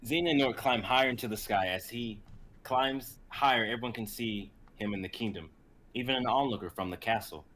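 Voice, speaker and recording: A man's voice: medium pitch, fairly quick, fairly bright tone. A young man narrating an amateur fantasy script in dramatic storytelling style. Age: young adult. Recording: faint background noise, live stream.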